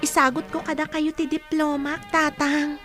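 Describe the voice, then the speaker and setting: A woman's voice: sits high, flat delivery, moderate pace, over music, close to an everyday voice. A woman playing a dutiful, grateful teenage daughter in a radio drama, speaking earnestly and tenderly. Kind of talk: Ilocano radio drama acting.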